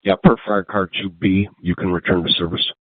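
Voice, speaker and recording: A man's voice: low-pitched, fairly even intonation, a little fast, no echo. A man working as an emergency dispatcher on a two-way radio, procedural, reading messages back over a narrow channel. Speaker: emergency medical group dispatcher. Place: command post.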